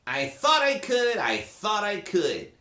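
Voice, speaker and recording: A woman voicing a small, kind female train engine in a storybook, gentle and earnest. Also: mid-range voice, huge pitch swings, slow, full character voice. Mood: determined.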